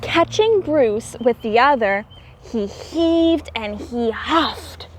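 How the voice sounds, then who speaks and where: A woman teacher reading a children's storybook aloud in an expressive, theatrical way and explaining things simply for young listeners. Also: fairly high pitch, slow pace, a little hiss or hum.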